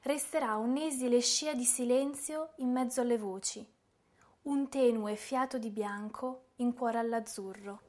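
A woman's voice: fairly high pitch, a little slow, darkish tone, lightly acted. An actress playing a wistful, delicate young woman poet reciting verse in a radio drama. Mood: wistful hope.

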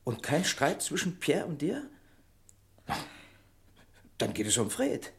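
An actor playing Maurice, a gruff, loyal, protective friend, in an urgent, coaxing way in a German radio crime drama. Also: male voice, on the high side, huge pitch swings, takes it slowly, a little hiss or hum, exaggerated voice. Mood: concerned, coaxing.

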